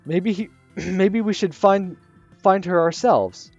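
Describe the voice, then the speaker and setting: A man voicing a calm, dry, teasing teenage boy from a visual novel, low and deadpan. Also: slow, no room sound, full character voice. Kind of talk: reading a visual novel aloud. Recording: slightly muffled with soft music.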